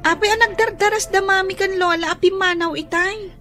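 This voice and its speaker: An actress playing a selfless, concerned young sibling in a radio drama. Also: female voice, very high-pitched, steady tone, fairly quick, bright, forceful tone, faint music behind. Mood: curious.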